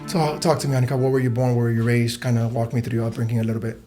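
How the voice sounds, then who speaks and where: A man in his thirties hosts a relaxed podcast chat. He talks quickly in a dark, mellow voice. He is chatty and informal and swears freely. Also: mid-range voice, faint music behind. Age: 30s. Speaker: podcast host. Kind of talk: casual interview chat.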